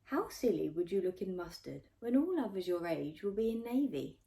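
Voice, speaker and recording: A woman voicing a firm, fond older nanny in a storybook, with a brisk, coaxing, gently teasing manner. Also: mid-range voice, sing-song, vocal fry.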